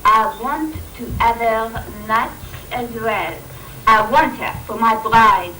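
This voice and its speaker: Earnest lovesick young-hero type, acted by a woman, high lively voice, theatrical stage rhymes, echoey room. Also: slow pace, a little hiss or hum. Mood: earnest, smitten. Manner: theatrical, declamatory. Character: lovesick grown male suitor, earnest pantomime romantic hero. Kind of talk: amateur Christmas pantomime acting in rhyme. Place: live staff pantomime in a hall. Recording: echoey, distant amateur stage recording with audience.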